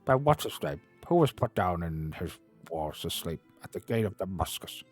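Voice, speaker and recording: A man voicing an old male miser, overwrought and swinging between laughter and tears, in a breathless, excitable delivery. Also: low-pitched, moderate pace, breathy edge, faint music behind, heavily acted. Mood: ecstatic.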